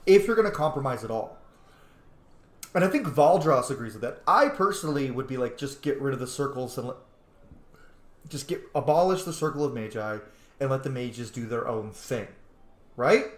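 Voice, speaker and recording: A man giving casual, reflective let's play commentary, thinking aloud as he goes. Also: fairly high pitch. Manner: reflective, thinking aloud, mimics opinions in quotes. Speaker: gamer, roleplay host. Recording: roomy, echoing room sound.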